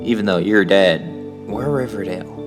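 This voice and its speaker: A man's voice: lowish voice, moderate pace, close to an everyday voice. A man playing an earnest, hopeful young man who carries a burden, in an audio drama. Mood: wistful, earnest. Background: soft new-age music underneath.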